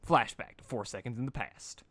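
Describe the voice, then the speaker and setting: A man's voice: mid-range voice, normal speed, fairly bright tone. A man reading fan fiction aloud in an informal, amateur storytelling style, occasionally stumbling over words. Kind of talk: animated amateur story narration.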